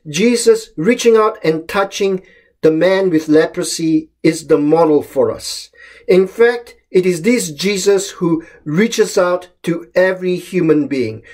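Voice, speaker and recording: A middle-aged man from Malaysia gives a short home sermon in a calm, measured voice that sits a little high for a man. He lifts the openings of his phrases for emphasis. He is recorded close up on a webcam in a small room. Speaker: pastor. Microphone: webcam or laptop camera close up.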